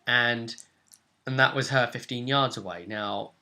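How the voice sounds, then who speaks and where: Bright-voiced man recapping a tabletop game. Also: mid-range voice, unhurried. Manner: conversational, explanatory, acting out characters' lines. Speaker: game master. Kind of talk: recapping a tabletop role-play session.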